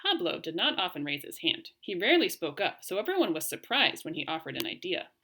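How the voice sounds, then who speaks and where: Expressive woman reading aloud, very lively pitch. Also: a little fast, a little hiss or hum. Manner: expressive, clearly phrased for young listeners. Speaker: teacher reading aloud to pupils. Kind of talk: read-aloud storytelling and lesson talk for children.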